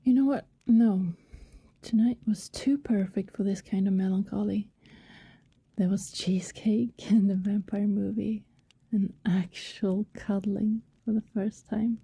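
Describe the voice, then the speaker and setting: A woman playing an affectionate, wistful vampire neighbour in an intimate ASMR roleplay, speaking softly and tenderly, often in a hesitant whisper. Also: medium pitch, steady tone, dry recording. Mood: wistful, content. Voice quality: soft, breathy, often whispered. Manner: slow, tender, hesitant murmuring.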